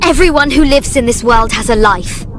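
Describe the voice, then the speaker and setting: A woman's voice: on the high side, moderate pace, fairly bright tone, faint music behind, close to an everyday voice. A woman playing a passionate, caring young heroine in a video game cutscene, earnest and emotional. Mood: defiant passion.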